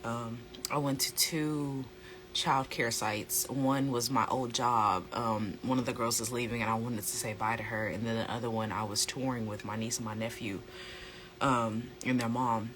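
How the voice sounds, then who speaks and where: An American speaker with a slightly hoarse voice, chatting casually and emphatically as a host explaining things in an educational vlog, repeating phrases for stress. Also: mid-range voice, fairly even intonation, normal speed, noisy background. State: slightly hoarse from a sore throat. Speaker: host, plant-based lifestyle coach.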